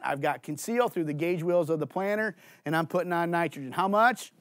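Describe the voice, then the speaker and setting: A man in his forties, a farm agronomist, giving an energetic conference presentation on a studio stage through a headset mic, asking and answering his own questions. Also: a little high, no room sound. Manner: gestures and walks on stage while talking. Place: indoor studio stage with green curtains.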